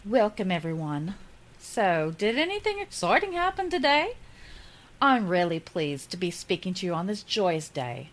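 A woman plays a cheerful young journalist greeting the press. Her voice sits a bit low but moves around with lively inflection, and her delivery is brisk and upbeat. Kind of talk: amateur audio drama acting.

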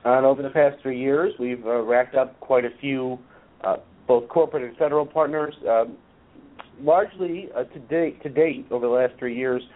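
Hoarse man explaining over a phone line. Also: mid-range voice. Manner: measured, explanatory, many filler pauses. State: hoarse from a cold. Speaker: guest, nonprofit executive. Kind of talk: conversational interview answers.

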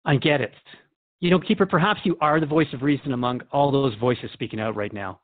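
A man's voice: mid-range voice, a little fast, no echo, natural voice. A man as earnest radio interviewer, dry muffled sound. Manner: conversational, hesitant, probing. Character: earnest, questioning male radio interviewer, respectful but troubled. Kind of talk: radio drama interview acting. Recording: dull lo-fi, dry audio.

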